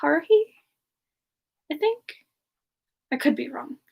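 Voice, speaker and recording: A woman doing a semi-ASMR live question-and-answer chat in character, musing aloud in a halting, giggly manner. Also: high voice, lively intonation, vocal fry, darkish tone. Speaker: livestream host in character, answering viewer questions.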